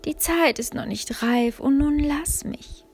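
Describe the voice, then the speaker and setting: A weary, doubting old wisdom speaks in a storybook, as a woman acts it out, hushed and half-whispered. The pace is slow and reluctant, over faint soft music. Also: high-pitched, pitched above the performer's own voice.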